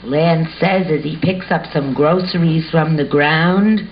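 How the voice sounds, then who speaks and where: A woman narrates in a scripted, stage-direction style at a slow pace. Her pitch is average, and the home recording is dull with faint hiss.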